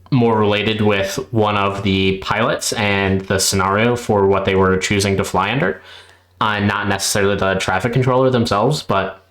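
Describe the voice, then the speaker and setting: Lowish-voiced man commenting in an echoey room. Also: a bit bright. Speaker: reaction video host. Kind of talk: unscripted spoken commentary.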